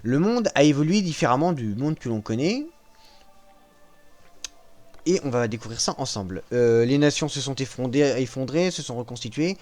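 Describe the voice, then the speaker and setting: A man casually walking viewers through a strategy video game, joking at his own expense and pausing often with fillers. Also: medium pitch, expressive, darkish tone, faint music behind. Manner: self-mocking, hesitant with frequent fillers. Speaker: gaming channel host. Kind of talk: casual gameplay walkthrough.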